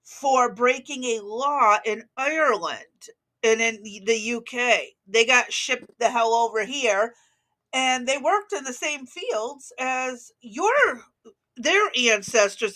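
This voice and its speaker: A woman with a fairly high-pitched voice talks casually as a political show host. Her delivery is blunt and combative, and she swears freely. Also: slow, bright, forceful tone, low-quality recording.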